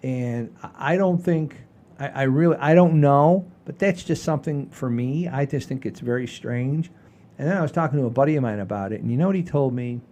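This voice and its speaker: A man in his sixties with a soft-edged, mellow voice at a middling pitch hosts a comedy podcast. He chats casually, like someone telling stories at home, leaning on repetition for emphasis and cursing without fuss. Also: a little fast. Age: 60s. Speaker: podcast host. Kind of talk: casual comedic storytelling chat.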